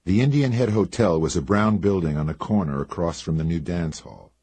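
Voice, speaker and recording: Very deep husky hardboiled narrator. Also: male voice, fairly quick, mellow. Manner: laconic, dry, wry. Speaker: audiobook narrator. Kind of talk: first-person hardboiled detective narration.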